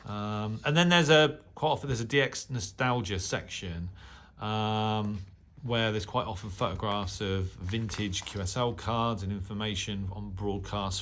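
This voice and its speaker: A man hosting a hobby channel, talking casually and unscripted in a halting, conversational way with many filled pauses. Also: fairly low pitch, fairly bright tone, slight background noise. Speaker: radio hobby channel host. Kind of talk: casual unscripted talk-through of a newsletter.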